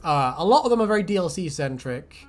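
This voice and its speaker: A man's voice: on the high side, big pitch swings, quick pace, soft background music. A man hosting a casual chat in a rambling, joking way with crude humour. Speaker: host.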